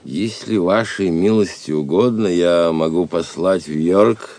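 A man's voice: deep voice, a little slow, a little mellow, no echo. Obsequious, lamenting merchant father, dry sound. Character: ruined, pleading merchant father grieving his daughter. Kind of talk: Russian audio drama acting.

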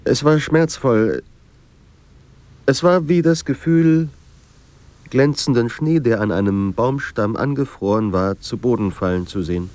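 An actor playing a sombre literary narrator in a radio drama, speaking in a measured, grave manner. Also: male voice, medium pitch, a little mellow, no echo, lightly acted. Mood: melancholy. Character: sombre literary narrator of a troubled writer's life.

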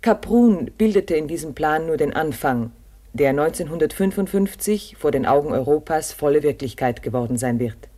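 A man's voice: a little high, lively intonation, normal speed, warm tone. A man narrating a scripted radio documentary drama in a solemn, literary manner.

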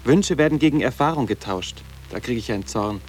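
An actor playing a sarcastic, intellectual teenage schoolboy in a literary radio drama, with a clipped, lecturing, defiant manner. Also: male voice, medium pitch, expressive, brisk, a little mellow, faint music behind, lightly acted. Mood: precocious, defiant.

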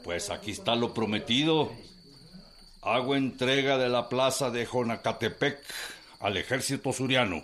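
An actor with a Mexican accent playing a deferential, duplicitous army colonel, formal and courteous, in a radio drama. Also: male voice, mid-range voice, normal speed, fairly bright tone, a little hiss or hum, close to an everyday voice.

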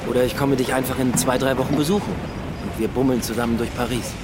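A man's voice: mid-range voice, fairly even intonation, fast, very noisy, natural voice. Man playing a mid-voiced police detective. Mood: hopeful coaxing. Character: male police detective, evasive, pleading lover. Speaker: detective character. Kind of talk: naturalistic German radio drama acting. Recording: radio drama with music underneath.

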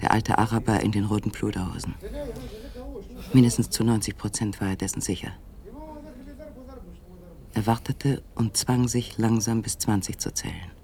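A man narrating a literary suspense radio play in a measured, controlled manner. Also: lowish voice, fairly even intonation, vocal fry, dark tone. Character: third-person narrator of a literary suspense story. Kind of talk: scripted radio drama narration.

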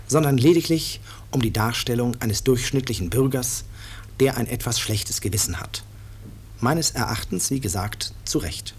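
A man playing an author who addresses listeners in measured, deliberate, dryly ironic radio-play narration. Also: medium pitch, natural voice. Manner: measured, deliberate, dry irony. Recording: slightly noisy 1950s radio recording.

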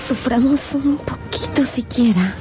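An actress playing a troubled, proud young woman in love in a radio drama, speaking earnestly. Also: high-pitched. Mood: tender, wistful. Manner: deliberate, earnest. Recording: faint music behind the voice.

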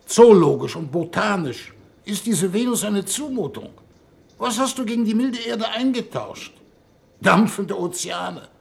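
Gravelly, eloquent envoy from Earth. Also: male voice, sits high, exaggerated voice. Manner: eloquent, theatrical, rhetorical. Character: weary male envoy from Earth, eloquent, indignant. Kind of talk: 1960s German-language radio drama acting. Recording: old radio recording, faint noise.

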